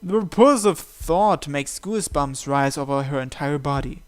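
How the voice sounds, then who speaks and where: Higher-pitched man, dramatic read-aloud narration. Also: lively intonation, normal speed, clear, resonant tone. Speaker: visual novel let's player. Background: game music.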